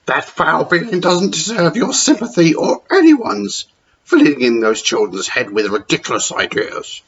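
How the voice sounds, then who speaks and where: A man's voice: fairly high pitch, big pitch swings, moderate pace, vocal fry, husky, pitched above the performer's own voice, exaggerated voice. A man voicing a cruel, scornful female hospital matron with a sneering manner. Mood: gloating. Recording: dull lo-fi recording.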